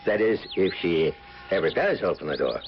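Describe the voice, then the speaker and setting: A man's voice: sits low, very animated, normal speed. A muffled old radio drama recording, slightly noisy, of a nosy neighbourhood man. His folksy, indignant chatter swoops wildly up and down. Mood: gleefully nosy. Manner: chatty, indignant, uneducated working-class speech. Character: nosy, folksy, defensive neighbourhood dog walker.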